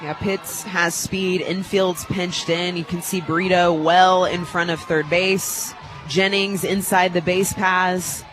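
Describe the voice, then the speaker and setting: American woman, conversational softball color commentator. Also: fairly low pitch, fairly even intonation, slow pace, music in the background, low-quality recording. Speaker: color commentator, former player. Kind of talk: live softball analysis, conversational. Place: stadium radio booth.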